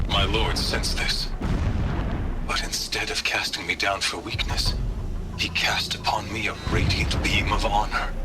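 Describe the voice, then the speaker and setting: An actor playing a proud, pitiless warrior zealot in a video game, delivering a solemn, grandiose monologue in formal archaic phrasing. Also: male voice, deep voice. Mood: proud, resolute. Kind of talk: dramatic audio-log monologue in a video game.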